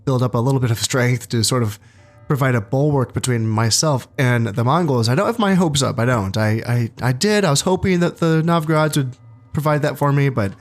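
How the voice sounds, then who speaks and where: A man hosting a strategy game playthrough, talking casually and thinking aloud, congested from allergies and a bit low on energy. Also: brisk. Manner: relaxed, thinking aloud with fillers and restarts. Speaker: gaming channel host.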